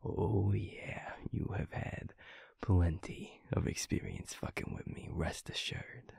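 A man playing a teasing, affectionate werewolf boyfriend in an intimate audio roleplay, with a breathy, husky voice and a murmuring delivery. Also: low voice, huge pitch swings, no room sound, in a whisper, clean studio sound, exaggerated voice. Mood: playful teasing. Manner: slow, murmuring, chuckling, playfully teasing. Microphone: close studio mic, dry.

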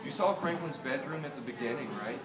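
A middle-aged American man from Delaware reads a picture book aloud to children, expressively, with deliberate pauses and questions to his listeners. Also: fairly high pitch, a loud crowd. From Delaware, United States. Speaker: children's author. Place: small toy shop, indoors. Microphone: camera a few meters away. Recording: roomy, distant camera pickup, loud room noise.

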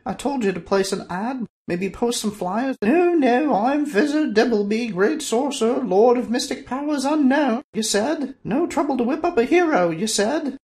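Storybook character: a tiny, bossy female sorcerer, high and shrill, scolding; a man plays her. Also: lively intonation, moderate pace, hardly any pauses, a little mellow. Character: bossy short female sorceress, scornful nag.

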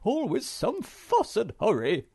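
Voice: medium pitch, wildly swooping pitch, takes it slowly, vocal fry, darkish tone, slightly rough, no room sound, studio quality, voice pushed up, full character voice. A man voicing a fussy, self-absorbed male creature in a storybook who grumbles and mutters. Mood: grumbling.

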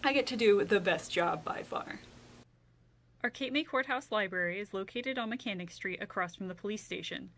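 An American woman in her thirties presenting and reading as a children's librarian, expressive and clearly enunciated, with dramatic pauses. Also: mid-range voice, slight background noise. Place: indoor library room.

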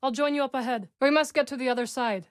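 A woman voicing a calm, dry-witted young witch companion in a video game, speaking in measured, formal lines recorded close on a studio mic. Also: high-pitched, dry recording, natural voice.

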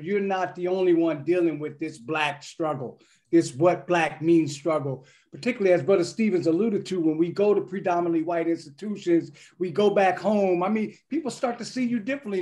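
A man speaking in a measured, preacherly cadence on a video call panel. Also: sits high, darkish tone. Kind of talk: reflective panel discussion. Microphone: webcam on video call.

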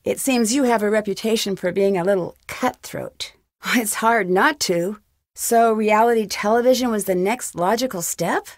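A woman's voice: fairly high pitch, no room sound, studio quality, natural voice. A woman playing an inquisitive, level-headed young female detective in a video game, with an earnest, probing way of asking questions. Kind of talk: video game voice acting, isolated dialogue lines.

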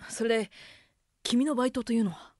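An actress playing a cool, princely tomboy who is easily flustered, with dramatic boyish phrasing in an anime audio drama. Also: female voice, a little high, fairly quick, a little mellow, no room sound, studio quality, lightly acted. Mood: brooding, resigned.

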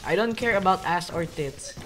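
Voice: mid-range voice, animated, normal speed. A young adult gamer trash-talking teammates in casual, profane banter while playing an online shooter. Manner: blunt, profane, jokey. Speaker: gamer recording gameplay. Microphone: gaming microphone on voice chat. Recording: noisy voice chat with clicks.

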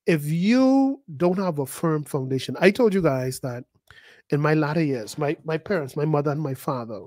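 A man preaching a sermon, his fairly high voice leaping higher and louder when he drives a point home. He repeats words as he builds and acts out the voices of the people he describes. The sound is dry and close. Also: lively intonation, normal speed, no echo. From the Bahamas. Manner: emphatic, rising to high pitch and volume at key points. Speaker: pastor.

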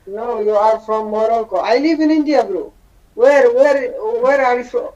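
A man's voice: extremely high pitch, moderate pace, a little mellow. A young man on a random video chat, teasing and insistent, calling the other person bro. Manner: mocking, insistent, calls people bro. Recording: dull lo-fi webcam audio.

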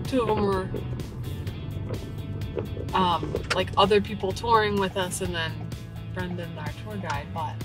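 A woman's voice: mid-range voice, unhurried, pauses often, loud music behind the voice, low-quality recording. An American woman co-hosting a casual travel vlog, talking in an enthusiastic, explanatory way. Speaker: vlog co-host.

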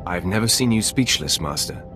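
A dutiful but sardonic Sith apprentice in a video game. His voice sits very low, cool and clipped with confidence, with music playing clearly behind. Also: animated, moderate pace, a bit bright. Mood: dry, amused.

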